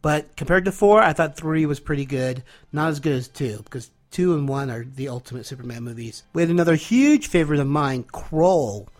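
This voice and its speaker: A man's voice: a little high, animated. A man in his thirties from California, a little congested, leaving an enthusiastic recorded comment for a podcast. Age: 30s. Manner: enthusiastic, nostalgic, with filler pauses. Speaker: caller leaving an audio comment. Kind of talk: casual recorded message.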